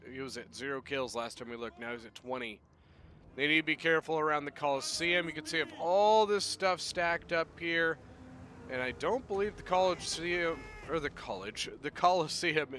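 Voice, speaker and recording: A man casting a strategy game battle play-by-play in a chatty, excitable way, his voice a little off as he gets over being sick. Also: fairly high pitch. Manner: chatty, excitable, bursts of loud exclamation. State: voice a little off from recent illness. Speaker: game commentator.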